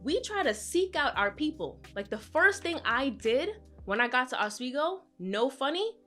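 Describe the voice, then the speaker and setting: Woman in her twenties, vlog commentary, fairly high voice, emphatic, faint music underneath. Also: sing-song, normal speed, pushed and bright. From Brooklyn, New York, with Trinidadian roots. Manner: conversational, emphatic, acts out voices of others. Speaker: vlogger. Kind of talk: candid personal commentary to camera.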